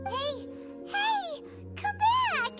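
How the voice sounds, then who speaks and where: A woman voicing a tiny, excitable, childlike fairy companion with a squeaky voice in a video game. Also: very slow, music in the background, heavily acted. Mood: urgent. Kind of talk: scripted video game dialogue.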